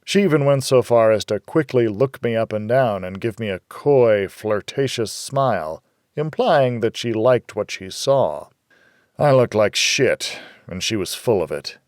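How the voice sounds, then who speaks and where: Casting: male audiobook narrator for hardboiled noir, lowish and world-weary, very expressive range, close dry sound. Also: measured pace, no echo.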